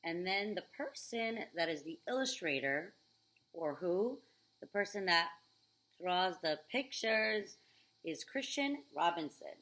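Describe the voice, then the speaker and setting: A woman reading a picture book aloud to children, expressive, with chatty questions and asides. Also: fairly low pitch, slow, rough recording.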